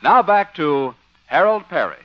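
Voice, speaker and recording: A man's voice: fairly high pitch, big pitch swings, a little slow, dry recording. An American man announcing in the scripted style of 1950s network radio. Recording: dull old-time radio recording. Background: music.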